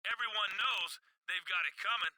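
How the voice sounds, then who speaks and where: A woman's voice: medium pitch, moderate pace, lightly acted, rough recording. A woman playing a coaxing, goading backstage wrestling figure in a video game cutscene. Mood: conspiratorial.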